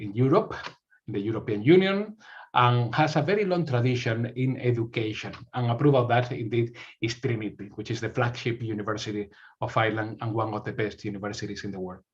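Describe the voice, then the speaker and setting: Spanish-accented man presenting, muffled audio. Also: medium pitch. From Spain. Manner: repeats words while searching for phrasing. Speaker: university recruitment representative. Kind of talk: informational presentation.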